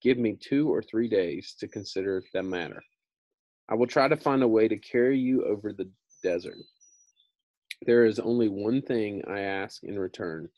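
A little humbug wizard from a storybook, read by a man, with a lowish voice. He is matter-of-fact, evasive yet obliging. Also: normal speed, no echo, lo-fi sound, natural voice.

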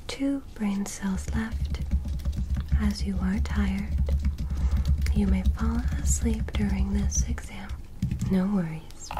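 A young woman voicing a gentle, formal alien medical examiner in an ASMR roleplay, in soft breathy whispers with measured, reassuring phrases. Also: medium pitch, background noise. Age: young adult. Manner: slow, deliberate, short measured phrases, reassuring. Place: small bedroom dressed as spaceship, indoors. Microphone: close microphone in front.

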